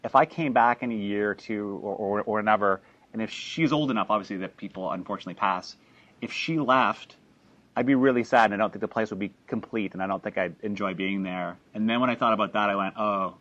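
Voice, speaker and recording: A low-voiced man talks an audience through how he made his documentary. He rambles, with restarts and fillers. The recording is dull and lo-fi. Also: fast talker, a bit bright, no room sound. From Canada. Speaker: filmmaker presenting his documentary. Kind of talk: talk to an audience after a screening.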